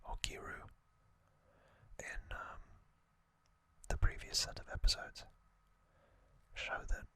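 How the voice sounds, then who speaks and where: A man whispers at an unhurried pace in an ASMR style. His breathy, unvoiced voice is very crisp and sibilantly bright, staying even throughout. He speaks off the cuff, pausing thoughtfully as he goes. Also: dry recording. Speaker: ASMR host. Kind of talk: ASMR, unscripted whispered commentary.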